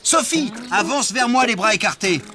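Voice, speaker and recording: A woman voicing a kind, patient male animal who calmly teaches balance in a preschool cartoon, over loud music. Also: fairly high pitch, moderate pace, natural voice. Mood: gentle. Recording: loud background music track.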